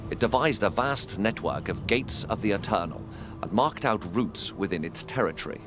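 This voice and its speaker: A man's voice: fairly low pitch, natural voice. A man playing a resolute military leader in a fantasy video game, commanding and declamatory. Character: commanding, resolute male war leader addressing soldiers. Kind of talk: dramatic video game acting. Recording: music behind the voice.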